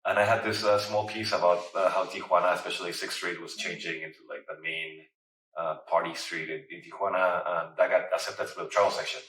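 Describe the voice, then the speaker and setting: A man's voice: deep voice, flat delivery, a little fast, a bit bright. A man in his thirties from Mexico, chatting casually in an interview with lots of fillers. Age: 30s. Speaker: photographer and writer. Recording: roomy, distant mic.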